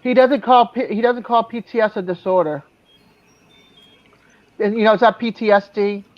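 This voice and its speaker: A man's voice: high voice, moderate pace. A man with a faint Boston edge to his speech, chatting as a guest. He rambles, restarts his sentences and chuckles to himself. His audio comes through dull and muffled. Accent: slight Boston. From born near Miami, raised mostly in New England. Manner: rambling, self-correcting, chuckles. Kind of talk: casual chat.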